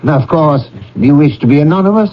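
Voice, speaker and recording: An actor playing a courteous, earnest gentleman collecting for charity in a classic radio drama, speaking with formal, solemn politeness. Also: fairly high pitch, expressive, brisk, natural voice. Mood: earnest appeal. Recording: dull lo-fi old radio broadcast.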